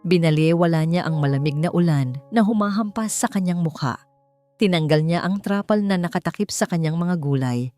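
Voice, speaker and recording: A woman's voice: sits low, a little mellow, no echo, studio quality. A woman storyteller reading a scripted dramatic story as narration.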